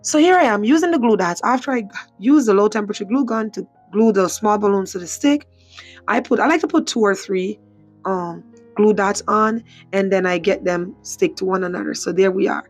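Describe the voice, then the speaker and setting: A woman whose voice is worn hoarse talks through a home craft tutorial in a loose, chatty way. She circles back with "so" and "again" as she explains each step. Faint background music plays beneath her. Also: medium pitch, brisk. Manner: chatty, repeats herself, frequent "so" and "again". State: hoarse, voice mostly gone. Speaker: craft channel host. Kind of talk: casual tutorial voice-over.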